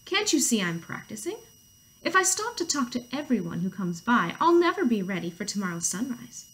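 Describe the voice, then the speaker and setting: A vain rooster from a children's storybook, acted out by a woman. He is dismissive and self-important, with a very animated, fairly high voice. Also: brisk, low-quality recording. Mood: irritated. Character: vain, dismissive male rooster, easily startled.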